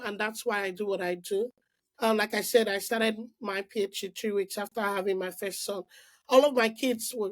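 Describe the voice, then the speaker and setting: A middle-aged woman from Nigeria, a podcast guest telling her story in an animated, casual way with frequent restarts and "like" fillers. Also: medium pitch. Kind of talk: casual interview conversation.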